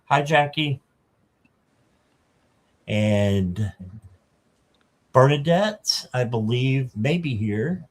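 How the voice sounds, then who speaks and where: A man in his fifties hosting a casual livestream, greeting viewers by name and filling pauses with ums. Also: medium pitch, expressive. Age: 50s. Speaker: livestream host.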